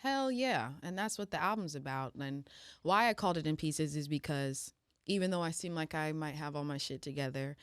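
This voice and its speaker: A woman's voice: sits low, animated, moderate pace, clear, resonant tone, no room sound, clean studio sound. A woman in her twenties, a singer speaking as a guest in a casual interview, earnest and reflective. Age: 20s.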